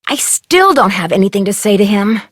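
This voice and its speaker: A woman's voice: mid-range voice, very animated, fairly quick. A woman playing a polite, inquisitive young amateur detective in a video game, natural and conversational. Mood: curious, polite. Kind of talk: video game character voice lines.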